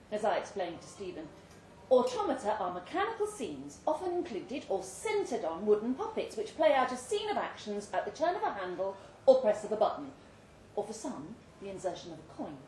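A woman's voice: mid-range voice, a little mellow. British woman reading a story, roomy echo. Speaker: story reader. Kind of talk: literary short story read aloud at a live event. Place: indoor live reading event venue. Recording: roomy live venue with faint background noise.

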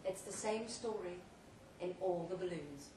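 A woman giving a theatrical, deliberate live reading of a comic short story, with dramatic pauses. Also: on the low side, warm tone. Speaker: story reader at a live literary event. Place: pub event room, before an audience. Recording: roomy, distant live recording, faint noise.

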